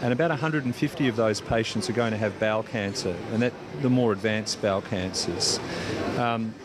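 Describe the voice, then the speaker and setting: A man's voice: lowish voice, brisk, noisy background. A man speaking as a cancer doctor, giving explanatory answers in a news interview.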